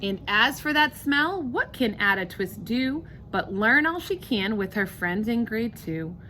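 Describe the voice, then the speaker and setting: A woman reading a children's picture book aloud with expressive, emphatic, rhythmic delivery of rhyming verse. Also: fairly high pitch, a little slow, slight background noise.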